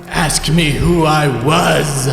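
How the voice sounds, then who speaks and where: Echoey wailing ghost in a radio play, solemn n menacing, slow drawn-out words, music under it. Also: male voice, on the high side, steady tone, creaky. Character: mournful, menacing male ghost of dead business partner. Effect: ghostly reverb. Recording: echoey with long reverb.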